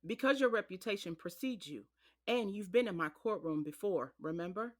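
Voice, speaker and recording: A woman voices a no-nonsense trial judge with a firm, commanding delivery. She speaks in a mid-range voice and keeps every word measured. She sounds like a character in an audio drama. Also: medium pitch, animated, natural voice. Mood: stern.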